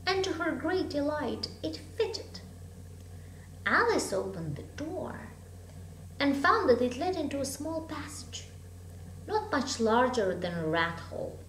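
Woman storyteller, high and very lively, dramatic phrasing for children's fiction; homemade echoey recording. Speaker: storybook reader. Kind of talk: expressive children's story reading. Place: room at home with bookshelves. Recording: echoey room, hum and background noise.

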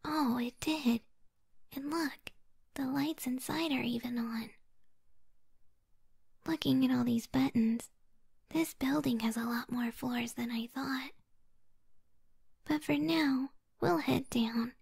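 A woman acts out a lonely, eerie young occultist in an intimate ASMR roleplay. Her high voice is whispered and raspy, heavy with vocal fry. She coaxes the listener slowly, with an unsettling sweetness. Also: darkish tone, heavily acted. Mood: softly excited, eerie.

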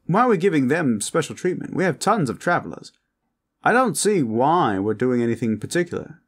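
A man's voice: fairly high pitch, very animated, a little fast, husky, pitched above the performer's own voice. A man voicing a posh, cheeky, lewd and vain vampire with a flamboyant, pompous manner in an audio roleplay. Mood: haughty.

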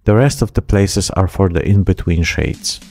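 A man in his thirties hosts a hobby painting vlog. His voice sits fairly low and has a very mellow, soft-edged tone. Faint music plays behind him. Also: moderate pace, dry recording. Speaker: hobby channel host. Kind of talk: miniature painting vlog narration.

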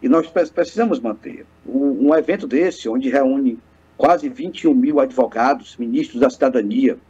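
A man's voice: medium pitch, moderate pace, warm tone, breathy edge. A man in his thirties from Piauí, Brazil, a lawyer speaking earnestly and formally on a video call from his office, often restarting his phrases. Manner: earnest, speechlike, frequent hesitant restarts. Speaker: lawyer and guest. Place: office with bookshelves, indoors. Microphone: webcam on video call. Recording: muffled video call audio.

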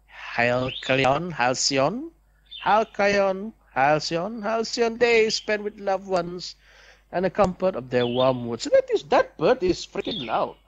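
A young man reads visual novel narration aloud as a let's player, deliberate and storybook-like, with casual asides. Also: sits high, very animated, dull audio.

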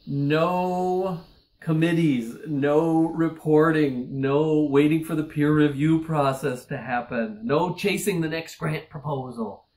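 A man's voice: on the high side, steady tone, takes it slowly, darkish tone, low-quality recording. A man in his forties, a nonprofit research director, speaking straight to camera in an earnest, urgent appeal from a small office. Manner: earnest, urgent, building to emphatic pleas. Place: office with bookshelves, indoors. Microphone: close mic, seated on camera.

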